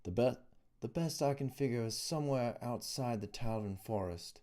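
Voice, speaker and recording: A man acts out a worried young captive in a fantasy audiobook. He speaks urgently and fearfully, pitched well above the narrator's low register. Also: moderate pace, dark tone, natural voice. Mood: uncertain. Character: anxious young male prisoner, urgent and fearful. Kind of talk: audiobook character reading.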